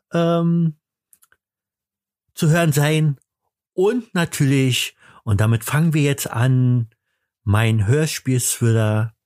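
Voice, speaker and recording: A man's voice: medium pitch, a little mellow, no room sound, studio quality. A man hosting a podcast in casual chat, stumbling over his words and poking fun at himself. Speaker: podcast host.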